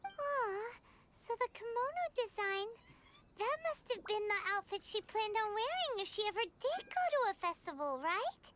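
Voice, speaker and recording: A woman's voice: extremely high pitch, very animated, moderate pace, very noisy. A woman voicing a tiny, chirpy female fairy companion who talks about herself in the third person. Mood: sweet. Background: loud game music.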